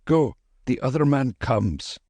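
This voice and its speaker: A man voicing an excitable, anxious middle-aged clerk, fretful and pleading. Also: slow pace, no echo. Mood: urgent whisper. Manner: fretful, pleading, high-strung.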